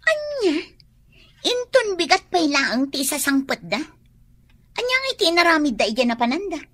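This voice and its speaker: A jealous, dramatic young woman in a radio drama. Her high voice leaps across a wide range with shrill exclamations, and her Ilocano accent carries through. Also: very animated, normal speed, a bit bright, full character voice. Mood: agitated.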